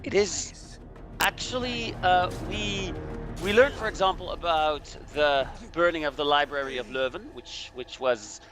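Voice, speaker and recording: Higher-pitched man over music. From Belgium. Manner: halting, searching for words. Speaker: commentary guest. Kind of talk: casual discussion commentary.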